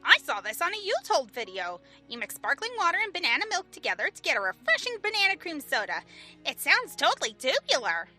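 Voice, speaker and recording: A woman voicing a hyper, goofy young woman in a fan audio drama, bouncy and excitable. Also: sits high, a little fast, faint music behind, heavily acted. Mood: excited.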